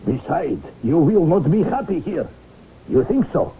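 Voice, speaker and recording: A pompous Russian agent in a vintage radio play. His high, husky voice carries a Russian accent and broken English, through a thin, phone-like recording. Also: big pitch swings, unhurried, heavily acted. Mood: menacing, mocking. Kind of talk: 1970s radio drama acting.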